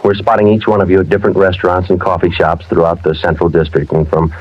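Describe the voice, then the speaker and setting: An actor playing a terse, businesslike American police detective in a clipped, matter-of-fact 1950s radio crime drama style. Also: male voice, sits low, little pitch movement, fast talker. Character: terse, businesslike male police detective narrating his case. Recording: dull old radio recording, loud music.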